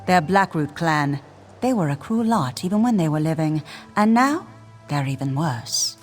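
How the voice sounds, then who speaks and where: A weary woman elf speaks bluntly in a slightly low voice. She sounds frank and exasperated, in fantasy game style, over faint music. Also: lively intonation, normal speed. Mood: exasperated, wary. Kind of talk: fantasy video game acting.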